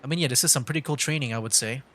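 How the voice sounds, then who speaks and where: A man's voice: medium pitch, rapid-fire, fairly bright tone, a little hiss or hum. A man streaming a game, giving casual live commentary, thinking aloud with mild exclamations. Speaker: streamer, VTuber gamer. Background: faint game music.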